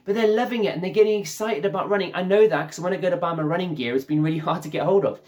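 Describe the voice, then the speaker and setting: A man's voice: sits high, rapid-fire, darkish tone. Enthusiastic chatty male preacher. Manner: enthusiastic, chatty, addresses listeners as guys. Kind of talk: casual conversational sermon.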